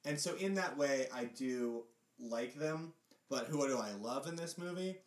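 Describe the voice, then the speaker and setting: A man's voice: mid-range voice, unhurried. A man in his thirties co-hosting a podcast, speaking in casual chat with a dry, teasing manner. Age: 30s. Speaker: podcast co-host. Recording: roomy echo, mic set back.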